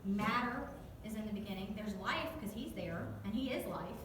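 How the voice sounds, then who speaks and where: A woman's voice: mid-range voice, moderate pace, far from the mic. A woman with a Southern US accent giving a chatty, joking devotional talk to a church group and asking them questions. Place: church hall, echoey. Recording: echoey hall recorded from afar, noisy.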